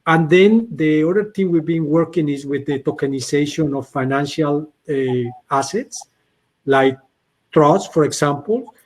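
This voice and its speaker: A man's voice: fairly high pitch, measured pace. A man answering a question on an online panel, explaining at length and repeating words as he restarts. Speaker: company representative answering questions.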